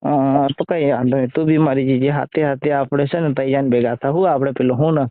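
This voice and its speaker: A man's voice: mid-range voice, fairly even intonation, a little fast, no room sound. A man arguing casually over the phone in an exasperated, scolding way. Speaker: social media video creator taking a call. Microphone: phone call. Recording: tinny narrow-band phone line.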